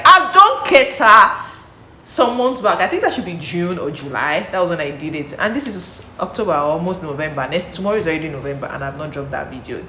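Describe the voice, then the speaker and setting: A woman's voice: fairly low pitch, bright, forceful tone. A young woman with a Nigerian accent, chatting to camera in a vlog, talking freely with lots of restarts and repeated words. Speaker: vlogger. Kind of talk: casual talk to camera. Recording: echoey room, faint background noise.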